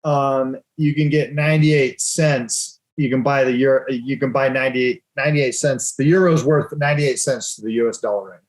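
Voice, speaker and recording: A man's voice: mid-range voice, steady tone, normal speed, few pauses, rough recording. Casual teasing male trading host. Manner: casual, teasing, laughs often. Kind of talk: live trading chat.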